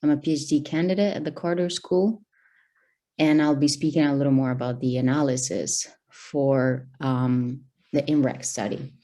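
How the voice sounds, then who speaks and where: Very low, mellow-voiced woman presenter. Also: steady tone, moderate pace. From Albania. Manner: hesitant, many fillers and restarts. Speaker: PhD candidate panelist. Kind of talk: presenting research on a video call. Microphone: video call.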